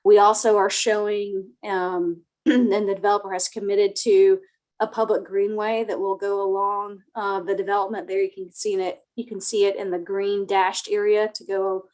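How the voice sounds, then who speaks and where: Woman, soft voice, flat and even delivery, a bit under the weather, computer mic. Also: medium pitch, moderate pace, low-quality recording. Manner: soft-spoken, many fillers. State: slightly sick. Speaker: project planner presenting to a town board. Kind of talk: formal presentation at a remote meeting. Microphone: computer mic on a video call.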